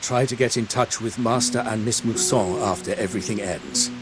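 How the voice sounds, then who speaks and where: A man's voice: lowish voice, moderate pace, lightly acted. A man playing a devoted manor butler in a video game, speaking formally and deferentially. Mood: solemn, tender. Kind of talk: scripted game character acting. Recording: dramatic music behind the voice.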